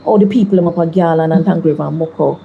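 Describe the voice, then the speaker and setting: Measured Jamaican woman politician. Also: sits low, gravelly, narrow, tinny audio, slight background noise. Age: 30s. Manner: measured, deliberate, articulate. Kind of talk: interview answers.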